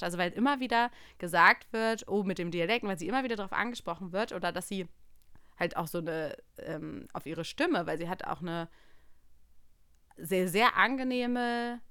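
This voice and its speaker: A young adult woman talking casually as a podcast co-host, telling personal stories in an animated way and quoting what people said. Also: medium pitch, smooth, no room sound, clean studio sound.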